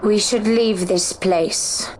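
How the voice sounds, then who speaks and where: A woman voicing a calm, aloof female warrior of a rabbit-eared race in a video game, speaking slowly and gravely. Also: medium pitch, natural voice, poor audio. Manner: slow, measured, grave. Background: game soundtrack music.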